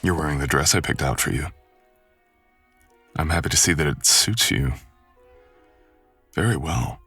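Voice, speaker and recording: A man's voice: very deep voice, expressive, measured pace, gravelly, fairly bright tone, soft background music, full character voice. A man acting as a mysterious, possessive, suave wealthy estate host in a boyfriend ASMR roleplay, speaking in formal, courtly, old-fashioned phrases. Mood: suave, charming.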